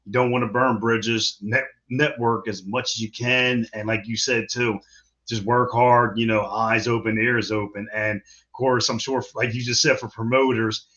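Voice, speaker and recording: A man's voice: medium pitch, steady tone, normal speed, sharp tone, muffled recording. A man hosting a wrestling interview show, speaking casually, often repeating and stumbling over his words. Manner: repetitive, stumbling, laughs often. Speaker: show host.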